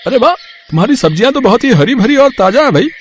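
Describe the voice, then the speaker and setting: A man as a very high-voiced, chatty customer. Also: very animated, fast talker, slight background noise. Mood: eager. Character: chatty male vegetable customer, friendly and eager.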